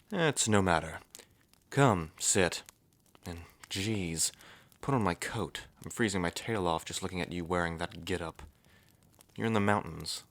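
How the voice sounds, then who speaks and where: A man voicing a wry, gentle male dragon archmage and royal advisor who is self-deprecating and easygoing. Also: low-pitched, dry recording.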